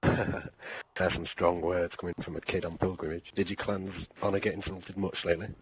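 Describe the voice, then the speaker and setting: A man playing a battle-hardened alien soldier in a sci-fi game audio drama, gruff, terse and wry, with a raspy voice. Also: sits low, level tone, moderate pace, no room sound, poor audio. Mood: skeptical, dry. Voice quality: gravelly, raspy. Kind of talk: fan audio drama, game-story character acting.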